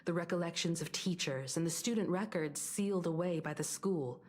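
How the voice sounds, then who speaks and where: A woman voicing a serious, composed senior investigator in a fantasy video game, speaking in formal, measured, deliberate lines. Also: on the low side, a little mellow, lightly acted. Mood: composed, businesslike. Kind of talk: scripted video game dialogue.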